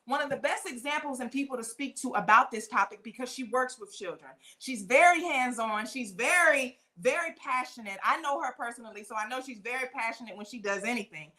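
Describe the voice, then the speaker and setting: A woman talk show host with a high, bright voice. She talks straight to her audience in an emphatic, conversational way, often repeating phrases for weight. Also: brisk, few pauses.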